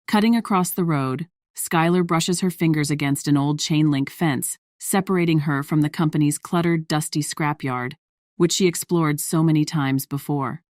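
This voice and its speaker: A woman's voice: low-pitched, normal speed, darkish tone, dry recording, studio quality. A woman reading scripted story narration as the narrator of a piece of fiction.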